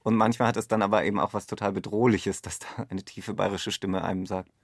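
A man's voice: fairly low pitch, steady tone, fast, husky, no room sound, clean studio sound. A man speaking as a director in an interview, tentatively thinking aloud. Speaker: radio play director. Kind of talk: reflective interview talk.

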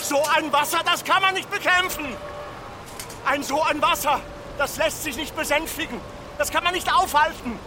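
Radio drama: village mayor, extremely high voice, imploring and insistent, over noticeable vehicle noise. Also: male voice, moderate pace. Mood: imploring, ominous. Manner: insistent, incantatory repetition. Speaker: actor. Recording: clear background noise, vehicle sounds.

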